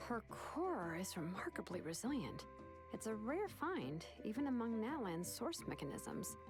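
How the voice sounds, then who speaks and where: A woman voicing a brisk, modest young researcher in a video game, expressive yet matter-of-fact. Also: on the high side, music in the background. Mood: brisk, analytical.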